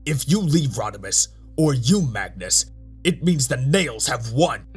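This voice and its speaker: An actor playing a hard-nosed, pragmatic robot officer with a clipped, insistent, bitter manner. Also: male voice, mid-range voice, big pitch swings, a little slow, husky, soft background music, full character voice. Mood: angry, insistent.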